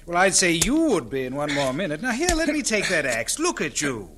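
Hearty helpful missionary guy, animated highish voice, noisy background, radio drama. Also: brisk. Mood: hearty, concerned. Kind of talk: audio drama acting.

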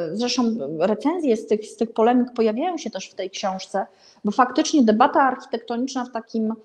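A woman's voice: medium pitch, a little mellow. A middle-aged woman gives an informal spoken review to camera, searching for words and leaning emphatically on key ones. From Warsaw, Poland. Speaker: presenter of an art and architecture vlog. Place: small indoor room with paintings and a bed.

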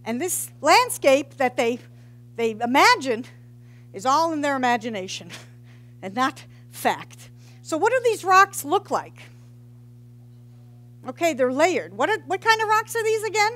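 A woman's voice: sits high, very animated, a little slow, long pauses, fairly bright tone. A middle-aged woman, a geology professor, giving an informal public science talk into a headset mic in a large hall, joking and quizzing the audience. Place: large hall with brick walls.

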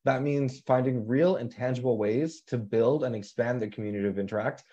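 Young male moderator, upbeat and encouraging, mellow tone, muffled call audio. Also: medium pitch, a little fast. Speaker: council chair and moderator. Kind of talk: moderating a live video panel. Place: home room. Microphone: video call.

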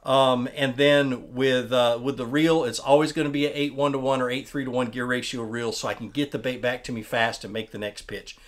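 A man in his thirties hosting a fishing channel, talking through his tackle in a relaxed, plainspoken way, often restarting words as he handles the gear. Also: medium pitch, normal speed, hardly any pauses. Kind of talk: casual tackle explainer. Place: small indoor studio with wooden wall.

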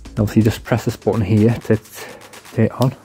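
A man's voice: on the low side, level tone, quick pace, dark tone, faint music behind. A man giving a casual, informal product demonstration, rambling and repeating himself as he goes. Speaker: product reviewer.

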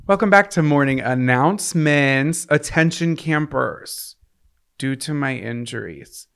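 A man's voice: a little high, lively intonation, a little slow, vocal fry, fairly bright tone, smooth. An American man in his thirties talking casually with dry humor as a podcast co-host, close on a handheld microphone. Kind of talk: casual comedic podcast banter. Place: small decorated indoor podcast set. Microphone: handheld microphone held close.

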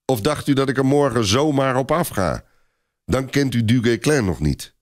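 A gruff medieval commander , voiced by a male storyteller, snapping orders with unyielding force. The pitch swings widely as he vows revenge and brooks no argument. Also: expressive, normal speed, natural voice. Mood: brusque, snapping.

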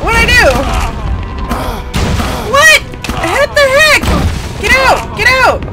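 A woman playing a video game with casual commentary, joking and exclaiming excitably as she reacts. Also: very high voice, takes it slowly. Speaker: gamer playing and reacting on a let's play. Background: horror game music and sounds.

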